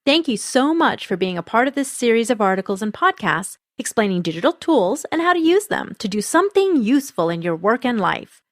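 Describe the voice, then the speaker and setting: A woman's voice: on the high side, big pitch swings, fairly quick, few pauses, no room sound, clean studio sound. A woman reading scripted narration for podcasts and radio, as a host and reporter.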